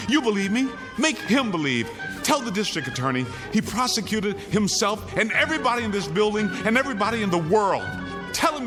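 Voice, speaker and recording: High, frantic condemned man pleading. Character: desperate condemned male prisoner pleading for his life. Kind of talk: radio drama acting.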